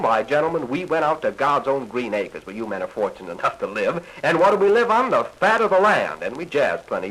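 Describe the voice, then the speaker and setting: Animated, fast-talking American man on vintage record. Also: mid-range voice, slightly rough, rough recording. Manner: folksy, crude, conversational storytelling. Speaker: comedian telling bawdy stories. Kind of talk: 1940s comic monologue. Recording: old 1940s 78 rpm record.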